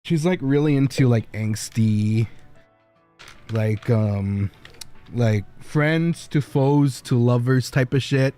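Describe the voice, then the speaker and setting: A man's voice: medium pitch, takes it slowly, dark tone. A male streamer chatting casually, rambling with lots of fillers and frequent laughter. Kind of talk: casual livestream chat. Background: faint background music.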